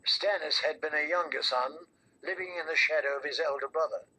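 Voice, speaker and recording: A man with a raspy voice reading a scripted fantasy novel as an audiobook narrator. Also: on the high side, fairly quick, pushed and bright, a little hiss or hum, poor audio.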